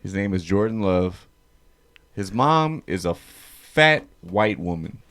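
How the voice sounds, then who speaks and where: A man hosts a casual podcast, asking questions in a halting, laid-back way. His voice sits low and his speech comes slowly, with pauses between phrases. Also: expressive, fairly bright tone. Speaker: podcast host. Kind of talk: casual chat.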